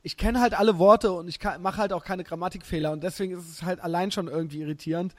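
A German man in his thirties hosting a podcast, chatting casually and telling animated, slangy stories with frequent laughter. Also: high voice, fast. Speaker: podcast host. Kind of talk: casual chat.

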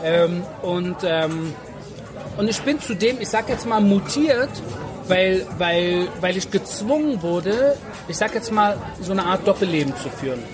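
A man's voice: high-pitched, animated, unhurried. A man, telling his own story casually in slangy, emphatic speech, repeating phrases for stress. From Berlin, Germany. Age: 40s. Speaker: interview guest. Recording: music and crowd noise behind.

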